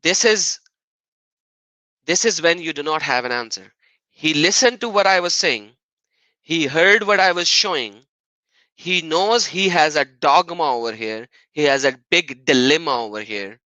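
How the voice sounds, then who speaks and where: A man's voice: fairly high pitch, expressive, a little slow, pushed and bright, dry recording, rough recording. A man hosting an argumentative live stream on religion, often mocking and repeating phrases for emphasis.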